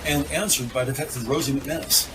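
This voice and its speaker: A man narrating a scripted audio drama in a measured storytelling manner. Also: medium pitch. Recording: clear background noise, ambience.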